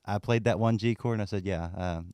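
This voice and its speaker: A man from Texas with a deep voice, chatting as a guest musician about his gear in a relaxed, easygoing way. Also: a little fast, clean tone, no room sound, studio quality. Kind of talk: casual interview chat.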